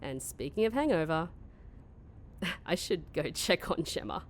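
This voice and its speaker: A woman playing a weary, sarcastic young office worker in a mockumentary audio comedy, speaking in a deadpan way. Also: deep voice, a little slow, a little hiss or hum. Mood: weary, wry. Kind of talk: mockumentary comedy narration and dialogue.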